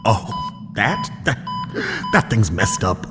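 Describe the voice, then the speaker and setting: An actor playing a careless island doctor-vet, blunt and offhand, who keeps giggling at bad news. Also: male voice, medium pitch, wildly swooping pitch, takes it slowly. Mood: giggly. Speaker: physician and veterinarian. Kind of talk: comedic audio drama acting. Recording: reverberant room with music.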